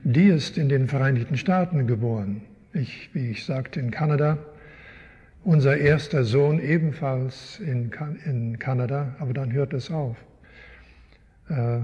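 An older man from Canada, a guest Bible teacher, answering interview questions in a slow, thoughtful, wry way. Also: mid-range voice, mellow, lo-fi sound. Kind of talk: live interview in a church. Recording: long hall reverb.

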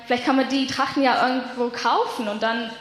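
Young woman at bible school, high voice, bit hesitant, big echoey hall. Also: steady tone, fairly quick, slight background noise. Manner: hesitant, self-correcting. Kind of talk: introduction and event announcement.

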